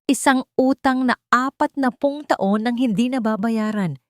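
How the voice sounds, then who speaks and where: A woman voicing a composed, wealthy man who speaks calmly and clearly to reporters. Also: fairly high pitch, a little slow, no room sound, studio quality, voice pushed up, close to an everyday voice. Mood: solemn. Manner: calm and clear public statement.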